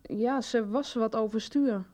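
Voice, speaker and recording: An actress playing a discreet executive secretary in a radio soap, speaking in a courteous, careful, businesslike way. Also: female voice, on the high side, unhurried, dark tone, lightly acted. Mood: polite, guarded.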